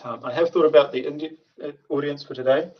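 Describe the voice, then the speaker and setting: A man giving a prepared tech conference talk in a measured way, with frequent filler sounds. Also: on the high side, steady tone. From New Zealand. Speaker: conference speaker, software architect. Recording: distant, dull remote call audio.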